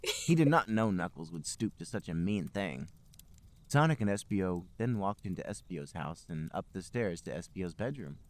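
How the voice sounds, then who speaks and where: A man with a low voice co-hosts a podcast. He moves between deadpan story reading and casual banter. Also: normal speed. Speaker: podcast co-host and reader.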